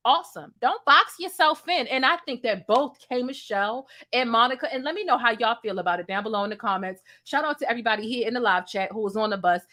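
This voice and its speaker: A woman with a deep voice hosts a live online commentary show. She talks straight to her viewers, leans on repeated lines for emphasis and keeps asking the chat questions. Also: animated, quick pace, few pauses, bright, forceful tone. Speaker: YouTube commentary host. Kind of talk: livestream commentary.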